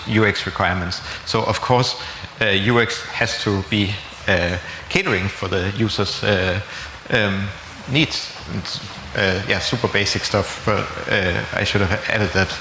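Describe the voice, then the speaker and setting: A man's voice: on the low side, bright, forceful tone. A man answering questions at a conference, speaking hesitantly with many fillers. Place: conference room. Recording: echoey hall with room noise.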